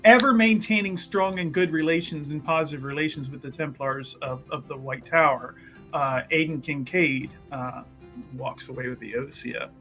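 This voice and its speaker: A man casually improvising narration of his character's actions in a tabletop roleplaying game, halting and amused. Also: a little high, fairly even intonation, bright, forceful tone, soft background music.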